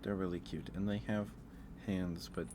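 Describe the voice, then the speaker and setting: A soft-spoken man hosting a casual ASMR livestream, rambling and thinking out loud in a hesitant way. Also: low voice, little pitch movement, moderate pace, noisy background. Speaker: livestream host. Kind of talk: casual ASMR livestream chat, ranking aloud.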